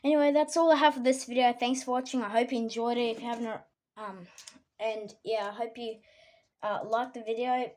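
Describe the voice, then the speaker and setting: An Australian woman with a croaky voice from a cold, giving a casual weather forecast with many ums and frequent throat clearing. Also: a little high, steady tone, a little fast, a little mellow.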